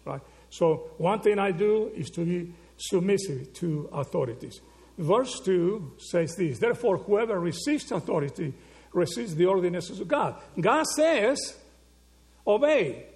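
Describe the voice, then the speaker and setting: A male preacher with a gravelly, creaky edge to his voice. He sits slightly high for a man and works through his points with rhetorical questions, checking in with the congregation again and again. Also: lively intonation, unhurried, a little mellow. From Argentina. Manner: rhetorical questions, frequent "okay?" and "right?" check-ins. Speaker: pastor. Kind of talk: sermon.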